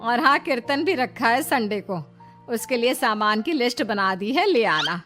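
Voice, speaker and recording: Woman doing an older cartoon mother-in-law, long-suffering, motherly, highish voice, soft music behind. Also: expressive, normal speed. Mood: sly, matter-of-fact. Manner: earnest, motherly, plaintive.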